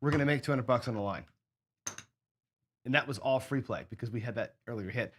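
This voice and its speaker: A man hosting a craps strategy show, hoarse and sniffly, chatting casually as he thinks aloud and counts out chips and bets. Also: mid-range voice, brisk, fairly bright tone, a little hiss or hum. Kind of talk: casual play-along commentary.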